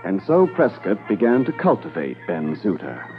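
A man narrating a 1950s radio crime drama in a measured, scripted style. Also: low voice, huge pitch swings, heavily acted. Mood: measured storytelling. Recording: vintage radio transcription, muffled, faint music behind.

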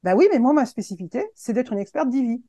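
A woman in her fifties, a guest on a podcast, speaking in a casual, earnest and encouraging way, halting often to restart her sentences. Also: on the high side, expressive, dark tone, husky, no echo. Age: 50s. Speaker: guest, web agency founder.

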